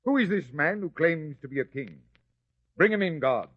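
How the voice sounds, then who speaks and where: A man's voice: a little high, expressive, normal speed, no echo. A man playing an imperious Roman governor in a biblical radio drama, brusque and commanding. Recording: dry, slightly dull lo-fi recording.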